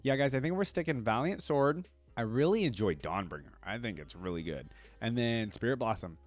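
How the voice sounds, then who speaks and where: A man's voice: medium pitch, expressive, a little fast, no room sound, faint music behind. A man giving casual, slangy reaction commentary as a video host, thinking aloud as he goes.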